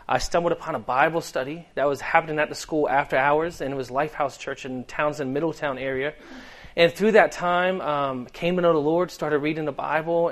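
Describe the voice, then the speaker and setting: Thirtyish guy from delaware w a boston accent preaching, earnest and chatty, lots of ums, bit of a higher voice. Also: fairly bright tone. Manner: earnest, conversational with many ums. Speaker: guest preacher. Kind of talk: sermon.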